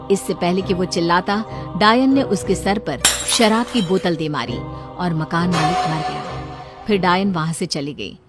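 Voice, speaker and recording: A woman reading a scripted cartoon story as its narrator. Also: medium pitch. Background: music.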